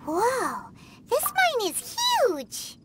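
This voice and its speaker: A woman voicing a tiny, curious, chatty childlike companion in a squeaky, exclamatory anime-style game voice. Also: wildly swooping pitch, very slow, very noisy, exaggerated voice. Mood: excited. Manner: exclamatory, inquisitive.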